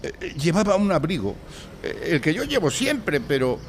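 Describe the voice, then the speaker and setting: A man's voice: on the high side, big pitch swings, normal speed, slight background noise. An actor playing a nervous, pleading accused man in a live audio drama, hesitant and stammering. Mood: anxious. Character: nervous, pleading male client accused of a crime. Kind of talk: audio drama acting before a live audience.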